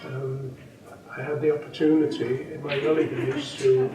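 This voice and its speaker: A man's voice: a little high, level tone, normal speed, crowd noise. A man in his eighties whose first language is Welsh, an experienced snooker coach and referee looking back on his career in an interview, talking unhurriedly with many ums and restarts. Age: 80s. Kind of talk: interview, reminiscing. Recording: echoey room, distant mic, background noise.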